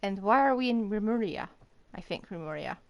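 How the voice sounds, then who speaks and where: Animated woman casually commenting on gameplay. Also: mid-range voice, fairly quick, dry recording, muffled recording. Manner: musing aloud, thinking in questions. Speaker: game streamer. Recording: faint game music behind. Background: game music.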